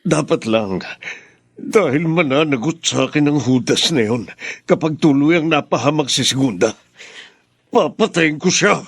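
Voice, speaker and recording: A man's voice: on the high side, lively intonation, measured pace, slightly rough, no echo, studio quality, full character voice. An actor playing a stern, vengeful father in a radio drama, grave and commanding.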